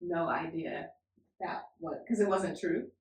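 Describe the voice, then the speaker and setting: A woman co-hosting a podcast as a family therapist, telling stories in a lively way and laughing often. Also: lowish voice, measured pace, vocal fry. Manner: animated storyteller, laughs often. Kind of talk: casual podcast chat. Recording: echoey room.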